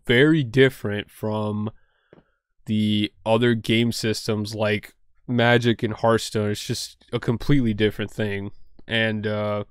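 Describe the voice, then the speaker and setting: A man's voice: lowish voice, level tone, a little slow, smooth, no room sound, clean studio sound. A young man talking casually to camera at home, rambling with lots of fillers and poking fun at himself. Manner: rambling, many fillers and "like", self-deprecating. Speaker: card game content creator.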